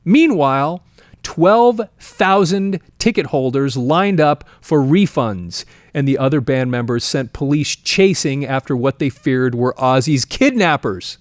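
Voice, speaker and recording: A man's voice: medium pitch, lively intonation, a little slow, no echo, clean studio sound. A man hosting a music history show, telling stories informally and reading quotes aloud in a chatty, often amused way.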